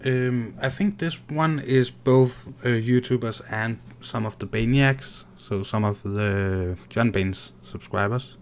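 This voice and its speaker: A man from Denmark with a Danish accent, casually commenting on his gameplay while reading and answering viewer chat in a soft, unhurried way. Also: medium pitch, slight background noise. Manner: soft-spoken and unhurried, muttering while playing. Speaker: gaming streamer.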